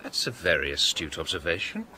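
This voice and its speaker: An actor playing a reserved, courteous scholarly houseguest in a radio drama, speaking formally. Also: male voice, deep voice, sing-song, brisk, sharp tone, slight background noise, full character voice.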